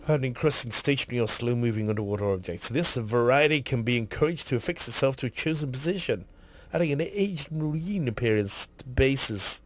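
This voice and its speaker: A man with a husky, hoarse voice from a cough, rambling casually while streaming a game and reading item text aloud. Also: medium pitch, expressive, fairly quick, few pauses.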